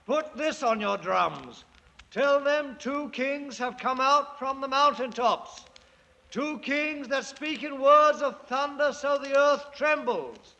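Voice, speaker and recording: High, bright, swaggering British ex-soldier. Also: male voice, takes it slowly, vocal fry, lo-fi sound, exaggerated voice. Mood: imperious. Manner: commanding, boastful, colloquial. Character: bold, ambitious British ex-soldier turned self-made king. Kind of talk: 1950s radio drama acting.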